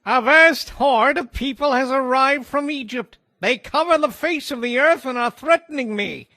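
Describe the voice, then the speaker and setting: A man's voice: very high-pitched, moderate pace, sharp tone, no echo, exaggerated voice. A man playing an anxious messenger of a threatened ancient king, pleading formally in a dramatized scripture reading. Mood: alarmed, pleading. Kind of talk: dramatized scripture audio acting.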